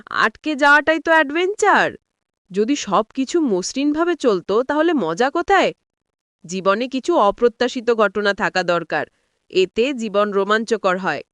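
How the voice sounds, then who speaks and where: A woman's voice: a little high, lively intonation, unhurried, a bit bright, dry recording. A woman voicing a reassuring, knowledgeable older father-in-law in storybook dialogue. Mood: upbeat. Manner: reassuring, explanatory.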